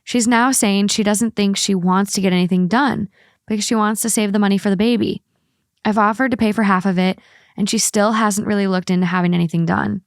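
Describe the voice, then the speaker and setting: A woman's voice: mid-range voice, fairly even intonation, fairly quick, no room sound. Woman hosting a podcast, close dry sound. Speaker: podcast host. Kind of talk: casual co-host chat and reading a story aloud.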